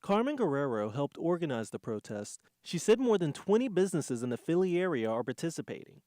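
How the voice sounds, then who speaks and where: A male American news reporter with a slightly high voice. He reads scripted narration for a television broadcast, in the manner of an anchor presenting a story. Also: sing-song, a little fast, dark tone, no echo, clean studio sound.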